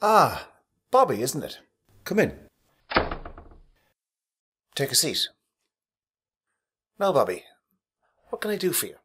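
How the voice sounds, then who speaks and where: A man's voice: fairly high pitch, huge pitch swings, takes it slowly, pauses often, a bit bright, breathy edge, full character voice. A man acting in a radio drama as a distracted male boss.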